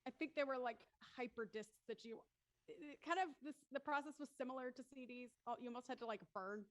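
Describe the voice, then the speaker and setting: A woman with a high voice hosts a live show. Her style is upbeat and conversational, and the recording is dry and close. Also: quick pace, dry recording. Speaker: host of a live audio drama show. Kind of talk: upbeat casual hosting.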